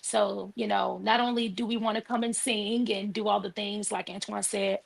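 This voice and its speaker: An earnest woman in her forties telling her story in a panel conversation. Her voice has heavy fry and a husky, breathy edge. She talks in steady, heartfelt testimony on a remote call. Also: mid-range voice, moderate pace, rough recording. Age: 40s. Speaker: worship leader and singer. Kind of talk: casual panel chat.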